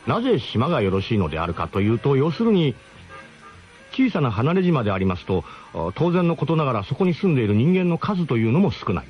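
An actor playing a brash, mock-grandiose expedition leader who narrates a comic radio drama in pompous formal phrasing. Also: male voice, mid-range voice, big pitch swings, brisk, husky, lo-fi sound, faint music behind. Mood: mock-serious, lecturing.

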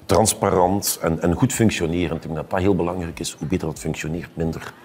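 Low-pitched Flemish male expert, forties, conversational explainer on a panel. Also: fairly even intonation, fairly quick, a little mellow, a little hiss or hum. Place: indoor café-style room, at a table.

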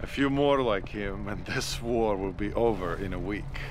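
A man's voice: fairly low pitch, normal speed, lightly acted. A male actor playing a world-weary, middle-aged Soviet army major in a war game, reflective in manner. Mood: approving. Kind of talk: military video game cutscene acting. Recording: outdoor noise behind the voice.

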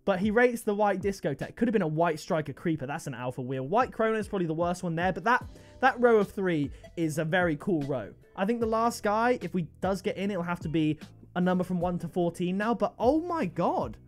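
A man, commenting on game item trades at his desk, excitable and jumping to high-pitched disbelief. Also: a little fast, soft background music. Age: 20s. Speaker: video game trading streamer. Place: gaming room indoors.

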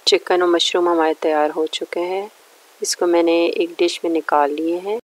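A woman's voice: lowish voice, fairly even intonation, moderate pace, no echo. A woman narrating a recipe step by step in a plain, instructional way. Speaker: cooking show host.

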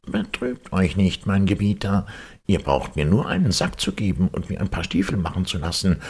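A man voicing a sly, flattering cat servant from a storybook in an earnest, self-important, theatrical manner. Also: low voice, normal speed, breathy edge, pitched above the performer's own voice. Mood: earnest, reassuring.

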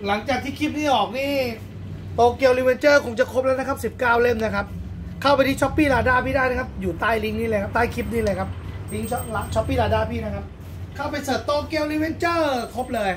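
A man's voice: very high voice, a little fast, noisy background. A man who owns a comic shop and hosts its channel, chatting casually and plugging his products while a card game goes on. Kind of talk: casual sales chat over a card game. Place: comic book shop, indoors.

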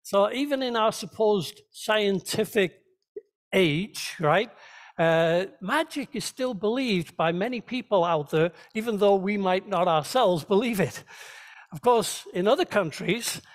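A man's voice: high-pitched, animated, measured pace. A man preaching a sermon as a pastor, teaching conversationally and checking in with his listeners. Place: church, speaking to a congregation.

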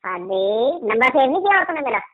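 A man playing a pestering, chirpy young child on a prank call through a pitch-raising voice changer. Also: sing-song, a little fast, heavily acted. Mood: teasing. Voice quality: high, thin, electronically altered. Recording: muffled voice-changer phone call.

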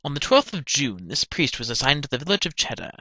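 A man's voice: mid-range voice, very animated, fast, pushed and bright, dry recording. A man voicing a hapless, nervous military subordinate giving a briefing in a parody cartoon. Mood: earnest, nervous. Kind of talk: fan-dub parody cartoon acting.

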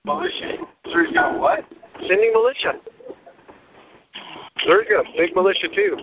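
A man's voice: sits high, big pitch swings, normal speed, gravelly. A man on a group phone call, talking in a blunt, coarse way. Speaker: caller. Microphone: telephone. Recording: dull noisy phone line with clicks.

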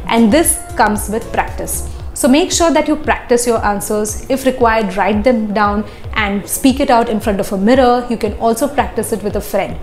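A young woman, a visa interview coach, gives clear, steady advice to the camera in an office. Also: a little high, normal speed, echoey room, faint music behind. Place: office with glass walls.

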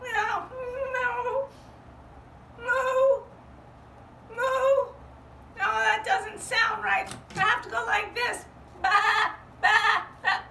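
A timid little sheep girl acted by a woman bleats in a very high, squeaky voice and trips over shy ums and uhs. The sound is roomy and distant, as if recorded from across a room. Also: steady tone, extremely slow, gravelly, long pauses, bright, forceful tone, heavily acted. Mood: eager. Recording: roomy, from far off, faint hum.

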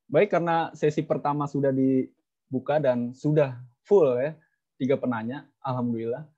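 A man's voice: medium pitch, fairly even intonation, moderate pace, dark tone. Chatty young man moderating a call. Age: young adult. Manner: chatty, jokey, teasing. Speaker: webinar moderator. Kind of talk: casual moderating on a video call. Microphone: video call. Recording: dull lo-fi call audio.

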